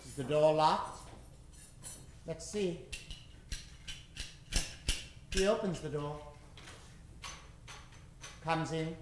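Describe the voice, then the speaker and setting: A man hosting an old-time radio horror show, narrating in a melodramatic, ominous way with drawn-out dramatic emphasis. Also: a little high, noisy background. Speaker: host and announcer of a live radio drama show. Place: live stage show before an audience. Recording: roomy live stage recording with music. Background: music.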